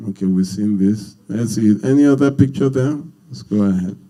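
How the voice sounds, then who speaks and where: A man's voice: lowish voice, normal speed, warm tone. A Nigerian-accented man speaking unscripted to an audience as a seminar leader, earnest and emphatic, often repeating his phrases. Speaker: speaker leading a marriage seminar. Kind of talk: unscripted public talk to an audience.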